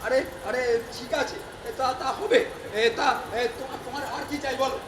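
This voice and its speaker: A man acts an old god who rules hell in a stage comedy. He is placating and wily, and he hesitates and stammers in a very high voice. The recording is noisy and full of room echo. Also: steady tone, normal speed. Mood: nervous, placating. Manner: stammering, hesitant, repeats words.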